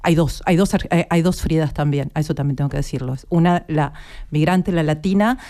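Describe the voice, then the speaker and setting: A woman's voice: deep voice, brisk, dark tone. A woman in her fifties with an Argentine accent, a guest on a radio interview, talking reflectively and searching for her words. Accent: Argentine Spanish.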